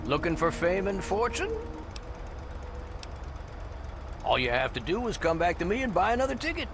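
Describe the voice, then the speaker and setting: An actor playing a salty, roguish ferryboat captain in a video game, a middle-aged man with a gravelly voice and a folksy, sly salesman's patter. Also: sits high, a bit bright, slight background noise, heavily acted. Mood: inviting. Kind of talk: video game character dialogue.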